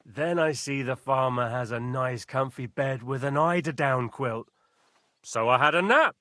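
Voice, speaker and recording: A man's voice: medium pitch, unhurried, fairly bright tone, dry recording, lightly acted. An actor playing a cheerful, roguish petty thief in a game, telling his story in a boastful, chuckling way. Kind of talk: game dialogue.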